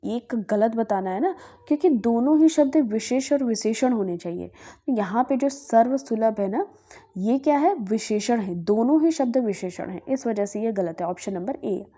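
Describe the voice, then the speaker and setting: Mellow-voiced Hindi tutor woman. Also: on the high side, expressive, normal speed. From Bihar, India. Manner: asks herself questions and answers them, often says "ठीक है". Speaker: exam prep teacher. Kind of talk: Hindi grammar lesson, solving past exam questions.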